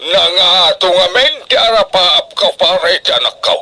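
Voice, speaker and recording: Anxious, stern Filipino farmer father, high-pitched. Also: moderate pace, pushed and bright. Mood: hopeful, earnest. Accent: Ilocano Filipino. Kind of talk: radio drama acting.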